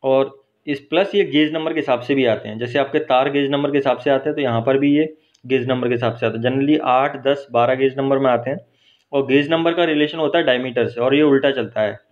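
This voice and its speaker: A man's voice: mid-range voice, steady tone, brisk. Workshop instructor, man, patient step-by-step explainer, dull phone audio. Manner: explains step by step, checks in with "ठीक है" and "है ना". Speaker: teacher. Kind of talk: hands-on technical explanation. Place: welding workshop. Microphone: phone held while filming.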